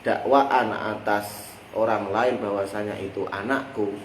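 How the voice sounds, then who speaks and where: A man's voice: on the low side, steady tone, a little slow. A man with a Javanese accent teaching a religious text lesson, explaining with everyday examples and drawing his listeners into finishing his sentences. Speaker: teacher of a classical Islamic text. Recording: echoey room with faint background noise.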